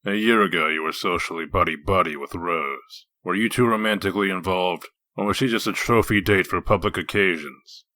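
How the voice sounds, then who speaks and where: A gruff comic-dub detective acted by a man, with a very low voice and a forceful edge. He is blunt, confrontational and sardonic, a wolf in human shape. The sound is dry and close. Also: a little fast, few pauses, no room sound.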